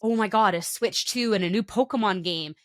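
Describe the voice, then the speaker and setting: A woman's voice: mid-range voice, brisk, no room sound, studio quality. A woman co-hosting a podcast in casual chat, talking in loose, trailing sentences that often restart. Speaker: podcast co-host.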